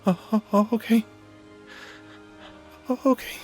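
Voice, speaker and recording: A man acts out a bashful young romantic hero with a fairly high voice. He speaks slowly, with halting stammers and flustered hesitation, in a fantasy audio drama over background music. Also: fairly even intonation. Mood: giddy, flustered. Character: shy, flustered young man confessing love to his guardian. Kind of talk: dramatic audio roleplay acting.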